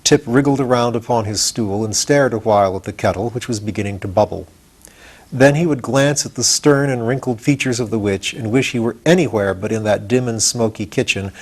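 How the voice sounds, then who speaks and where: A man, reading a children's story aloud as expressive audiobook narration. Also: on the low side, a little fast. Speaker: audiobook reader.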